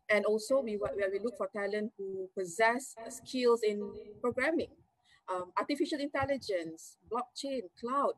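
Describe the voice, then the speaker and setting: A Malaysian woman, Malay as her first language, speaks as an executive guest in a brisk, emphatic way, ticking off lists and tossing in "right?" tags. Her voice sits a touch high, and the sound is close and dry. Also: husky, dry recording, rough recording. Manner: energetic, emphatic, lists points with rising tags. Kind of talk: interview answers.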